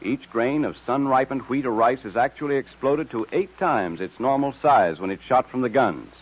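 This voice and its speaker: A man announcing in scripted 1940s radio style, with a polished sales and narration delivery. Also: medium pitch. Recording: tinny, narrow-band old radio recording.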